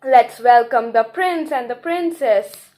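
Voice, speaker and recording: A woman's voice: a little high, takes it slowly, warm tone. A woman playing a jubilant, exclamatory royal host of a wedding party in an amateur puppet show. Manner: exclamatory, festive.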